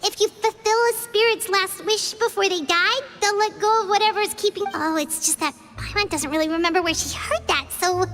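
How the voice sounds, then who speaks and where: A woman voicing a small, excitable, well-meaning childlike fairy companion in a video game, with a flustered manner. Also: very high voice, brisk, few pauses, faint music behind. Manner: flustered, refers to herself by name. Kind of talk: game character voice acting.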